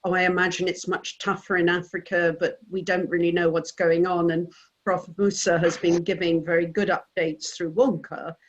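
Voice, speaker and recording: A woman from the UK speaking as an attendee on a video call, measured and reflective. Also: fairly low pitch, level tone, muffled recording. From United Kingdom. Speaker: attendee, past association president. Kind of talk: casual remarks on a video call.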